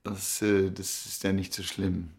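A man's voice: low voice, flat delivery, a little slow, a little mellow. A man acting a distracted, polite scholar in a radio play, speaking hesitantly. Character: distracted, unwell, polite male scholar visiting a museum. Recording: echoey room, old radio play.

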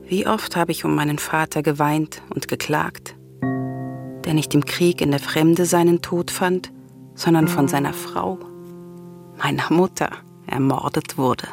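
An actress playing a grieving, vengeful princess of ancient Greece in a radio tragedy, lamenting in solemn, declamatory speech. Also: female voice, deep voice, steady tone, measured pace, gravelly. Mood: bitter lament. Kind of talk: classical tragedy radio drama acting. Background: music.

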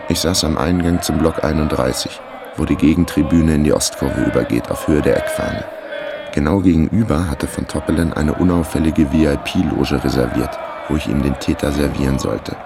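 A man's voice: rumbling low, creaky. A man playing a wry amateur detective who narrates his case in a dry, laconic way in a radio crime drama.

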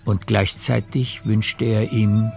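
A male narrator reads literary prose for a radio drama in a low, gravelly voice. His delivery is measured, and faint music plays behind him. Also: level tone. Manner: measured storytelling.

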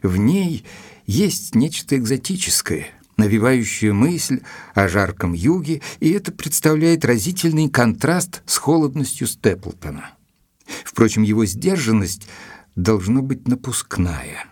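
An actor playing an earnest, educated gentleman who narrates his letters in a measured, expressive way for an audio drama. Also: medium pitch, breathy edge, no room sound, studio quality. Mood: thoughtful, concerned. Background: music.

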